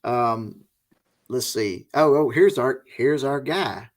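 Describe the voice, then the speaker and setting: A middle-aged man from the Deep South with a Southern US accent, hosting a casual interview in an informal, folksy way. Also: takes it slowly. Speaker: host, interviewer.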